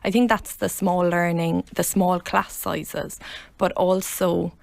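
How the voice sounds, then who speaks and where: A woman's voice: on the low side, level tone, slow pace, vocal fry, no echo. A woman teacher, answering interview questions in an easygoing way.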